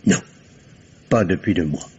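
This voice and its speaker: A guarded doctor under questioning. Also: male voice, sits low, a little slow, slightly rough, heavily acted. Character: composed male doctor questioned by police. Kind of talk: 1950s radio drama acting. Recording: dull lo-fi archive radio recording.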